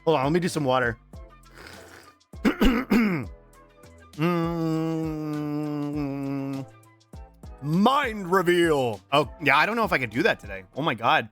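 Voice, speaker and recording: A game streamer in his thirties with a somewhat high, bright, animated voice that swings widely in pitch. He chats casually with viewers, fills the gaps with long runs of drawn-out ums and swears freely. His voice is strained and cracking from allergies. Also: soft background music. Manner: long strings of drawn-out filler ums, profane and joking. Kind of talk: casual chat reading out viewer messages.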